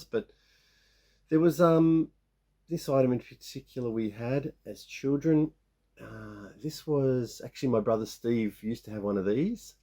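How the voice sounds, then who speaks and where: Croaky, gravelly middle-aged man. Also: mid-range voice, warm tone. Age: 50s. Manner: rambling, many restarts and fillers. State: croaky sore throat. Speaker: toy collecting vlogger. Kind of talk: casual show-and-tell of toy haul.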